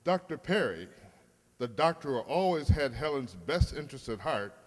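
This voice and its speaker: A man announcing a radio play from a script in a wry, theatrical, measured manner. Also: medium pitch, big pitch swings. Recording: hall reverb, faint noise.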